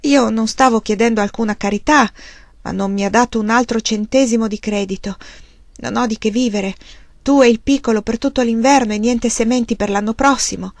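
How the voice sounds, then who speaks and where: A woman reading a story aloud and voicing a proud, desperate male frontier farmer who speaks in bitter, forceful outbursts. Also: a little high, fairly quick, no echo, studio quality, close to an everyday voice.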